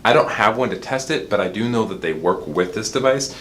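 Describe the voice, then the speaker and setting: A man with a low voice presents an informal product tutorial. He explains each step directly to the viewer in a conversational way. The recording has noticeable ambient noise behind him. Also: expressive, fairly quick, fairly bright tone. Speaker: product demonstrator.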